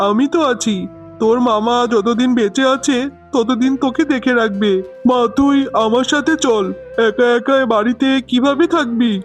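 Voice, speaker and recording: A consoling cartoon uncle played by a man with an unusually high voice. He sounds protective, with faint music behind him. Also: fairly even intonation, normal speed. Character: protective uncle consoling his orphaned niece. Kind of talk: children's cartoon voice acting.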